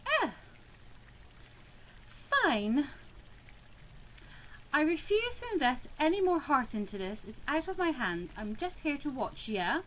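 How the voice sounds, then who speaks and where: A woman's voice: high voice, big pitch swings, takes it slowly, pauses often, a little hiss or hum, pitched above the performer's own voice, heavily acted, poor audio. A woman voicing a young female student mediator from a visual novel, indignant, reluctant and principled, in an emphatic, sulky way. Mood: sulky, resigned. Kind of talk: visual novel read-aloud.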